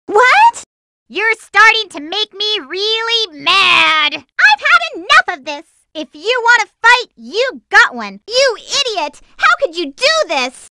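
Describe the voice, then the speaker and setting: A woman voicing a peppy, lovestruck, feisty young girl hedgehog in video game battle clips, girlish and squeaky, with a bubbly, theatrical delivery. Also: sing-song, takes it slowly, heavy background noise, heavily acted. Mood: angry, defiant.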